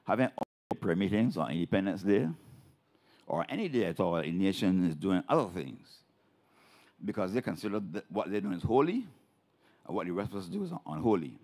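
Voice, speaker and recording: A man's voice: deep voice, sing-song, a little fast, darkish tone. A Barbadian man speaking from the floor at a public meeting, declaiming with emphatic rises. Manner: declaiming, rising to emphatic peaks. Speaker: audience member at a public meeting. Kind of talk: impassioned public speech. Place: large hall at a public meeting.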